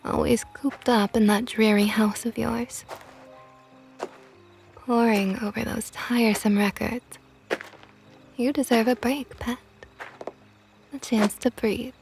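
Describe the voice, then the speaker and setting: A woman voicing a possessive, flirtatious female vampire knight in an ASMR roleplay, talking softly and often in a whisper with a teasing tone. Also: fairly high pitch, steady tone, slow, vocal fry, pauses often, music in the background. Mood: tender teasing.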